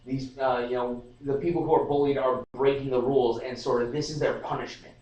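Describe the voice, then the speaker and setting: A man's voice: mid-range voice, little pitch movement, a little fast, a little mellow, highs cut off. A young man talks loosely, restarting his sentences and leaning on fillers. His voice sits in the middle range and comes from some distance off the mic. The room gives it a hollow echo. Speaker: podcast host. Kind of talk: casual discussion. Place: room at a student society meeting. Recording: roomy live stream audio, distant mic.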